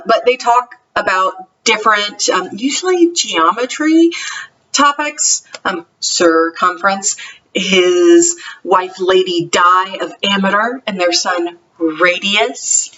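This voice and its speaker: A woman's voice: mid-range voice, pushed and bright, far from the mic, lo-fi sound. A woman talking casually and enthusiastically, like a homeschool vlogger reviewing books. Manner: chatty, enthusiastic. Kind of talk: casual vlog book review.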